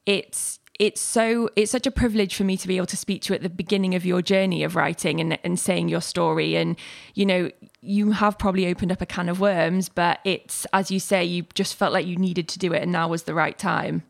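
A woman's voice: lowish voice, fairly even intonation, brisk, gravelly. A woman hosting an interview podcast, asking questions in an encouraging, chatty way with many fillers. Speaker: podcast host. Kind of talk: conversational interview.

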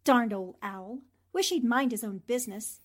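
Casting: plucky young newspaperwoman sleuth, played by a woman, higher-pitched, flippant and arch, for 1940s-style radio drama. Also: normal speed, lightly acted. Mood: muttering, wry. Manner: talks to herself, flippant, arch.

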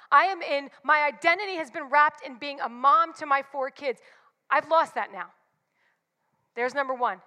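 Woman, 40s, bright forceful tone, confessional devotional talk, reverberant room. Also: sits high. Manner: candid and confessional, emphatic repetitions. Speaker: church speaker.

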